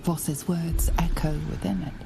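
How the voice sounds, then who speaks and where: A woman playing a solemn, omniscient storyteller, narrating a fantasy game in a hushed, deliberate, dramatic way. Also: fairly low pitch, level tone, vocal fry, music in the background. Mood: ominous.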